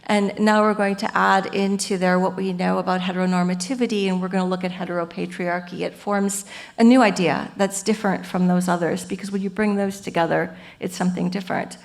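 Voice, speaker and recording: Woman lecturer with a gravelly edge. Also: on the low side, fairly quick. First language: English. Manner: engaged, explains ideas through everyday metaphors; lively and earnest, with frequent "you know" and "um". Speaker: professor giving a symposium talk. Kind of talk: academic lecture.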